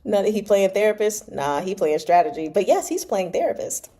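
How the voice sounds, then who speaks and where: Female read-along host, relaxed dark-toned voice, casual and expressive delivery for novel reading and commentary. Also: medium pitch, brisk. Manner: informal, slangy, expressive commentary. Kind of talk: reading a novel aloud with casual reaction asides.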